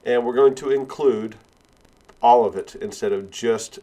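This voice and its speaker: A male instructor with a cold and sniffles, talking step by step through a software tutorial with frequent "uh" and "all right.". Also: medium pitch, measured pace. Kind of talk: screen-recorded software tutorial.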